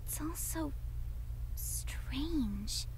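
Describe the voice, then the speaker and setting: A woman's voice: high-pitched, animated, extremely slow, a little mellow, whispered, voice pushed up, heavily acted, rough recording. A woman voicing an eager, curious young girl in visual novel dialogue, earnest and a little hesitant. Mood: earnest, excited.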